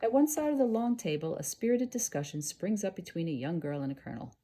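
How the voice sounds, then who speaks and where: A woman reads aloud like a teacher, with a soft-edged, mellow and somewhat husky voice on the lower side. Her delivery is expressive and explanatory, with lively rises and falls as she takes listeners through a scripted story. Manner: expressive, explanatory, pausing to gloss words. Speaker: teacher reading aloud for students.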